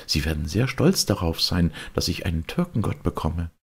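Young fairy-tale princess, played by a male narrator: bossy, eager, high swooping delivery. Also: sing-song, moderate pace, gravelly, slightly rough, full character voice. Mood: eager, instructing. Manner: high-pitched, instructing.

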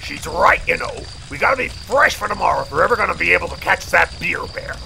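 Guy playing chatty princess's hunting pal, high crackly voice, audio drama with music under it. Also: animated, quick pace, vocal fry, bright, forceful tone, heavily acted. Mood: keen, friendly. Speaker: voice actor. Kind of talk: amateur audio drama dialogue. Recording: music over the voice.

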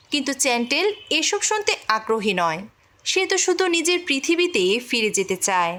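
High-pitched, lively woman narrator. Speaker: movie recap narrator. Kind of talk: scripted storytelling narration of a film plot.

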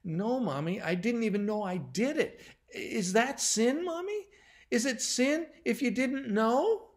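A man's voice: very high voice, huge pitch swings, very slow, a little mellow, voice pushed up, heavily acted. A man voicing a small, frightened, guilt-stricken boy in a story, pleading and tearful.

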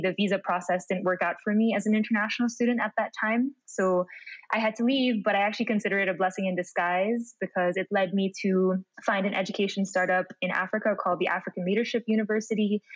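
A woman's voice: mid-range voice, no room sound. An upbeat young woman talks as a podcast guest, filling her sentences with a casual "like". Her voice is dry and close, with a slightly dull, lo-fi edge from remote call audio. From Philippines. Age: young adult. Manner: upbeat, chatty, frequent "like". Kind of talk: casual interview chat.

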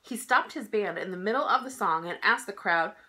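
A woman in her thirties reading a children's picture book aloud in an expressive, emphatic storytelling style. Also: medium pitch, brisk. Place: small indoor room at home.

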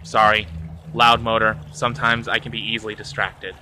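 A man's voice: lowish voice, flat delivery, moderate pace, bright, forceful tone. A man in his thirties from Florida, vlogging outdoors on a phone at arm's length, talking in an earnest, rambling way and correcting himself as he goes. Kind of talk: casual vlog monologue. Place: outdoors by a church on a sunny day. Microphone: phone held at arm's length. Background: faint traffic and outdoor ambience.

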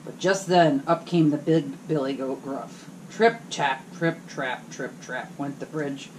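A middle-aged woman reading a fairy tale aloud in a bedroom, steady and explanatory. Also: low voice, a little slow, slight background noise, rough recording. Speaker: storyteller. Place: hotel bedroom, indoors.

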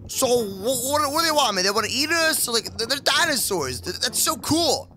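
Man voicing a very high, whiny baby moose boy. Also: sing-song, moderate pace, sharp tone, soft background music, exaggerated voice. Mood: puzzled, whiny. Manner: stammering, peppering speech with slangy address. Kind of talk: kids' gaming roleplay cartoon.

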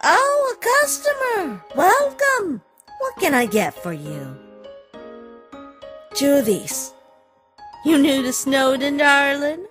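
Woman as a high, sweet rabbit shopkeeper. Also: sing-song, slow pace, pauses often, a bit bright, soft background music, exaggerated voice. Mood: welcoming. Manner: welcoming, chatty, sweet. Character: friendly, motherly female rabbit shopkeeper. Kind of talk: animated comic dub acting.

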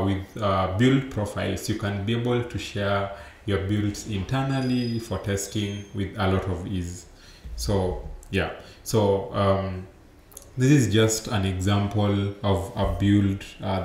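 Low-voiced man explaining tech in an echoey room. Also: darkish tone. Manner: explanatory, loose, many fillers and restarts. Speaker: conference speaker. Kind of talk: remote tech conference talk over slides. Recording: roomy echo with faint background noise.

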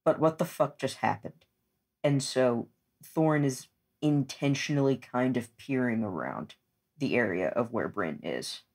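A man playing a tabletop game, casually and deliberately thinking aloud as he describes his character's actions. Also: medium pitch, lively intonation, vocal fry.

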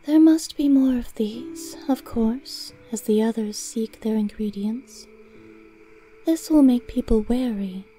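Lady doing a sly cat lady from a storybook, high coy voice, music behind. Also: slow, warm tone. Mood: coy, composed. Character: Greymalk, sly, poised female cat familiar.

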